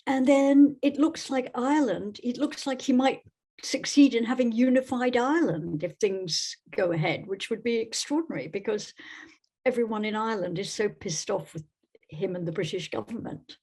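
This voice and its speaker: A woman from Scotland giving thoughtful, halting conversational answers as a podcast guest. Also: a little high, darkish tone.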